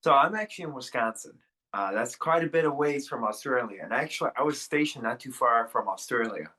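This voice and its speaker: A young man, talking as a guest on a video call in a candid interview, rambling and halting with many fillers and restarts. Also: medium pitch, expressive, sharp tone. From Russia. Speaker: podcast guest.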